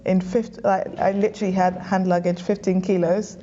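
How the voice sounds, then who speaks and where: A young woman from Ghana, an interview guest, telling her life story in a relaxed, chatty way with easy laughs and small restarts. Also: mid-range voice, moderate pace, muffled recording, slight background noise.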